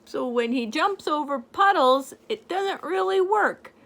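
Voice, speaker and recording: An older woman reading a picture book aloud to a child in a gentle, playful way, pointing out the pictures as she goes. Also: sits high, expressive, a little slow, gravelly. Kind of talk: storybook read-aloud to a child.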